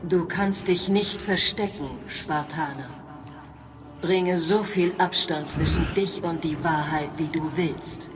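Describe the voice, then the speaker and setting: Eine Schauspielerin spielt in einem Hörspiel eine kalte, anklagende Göttin, die gemessen, feierlich und verurteilend spricht. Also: female voice, sits low, steady tone. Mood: cold taunting. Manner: measured, solemn, condemning. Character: cold, accusing goddess taunting her former servant. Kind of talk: German audio drama acting.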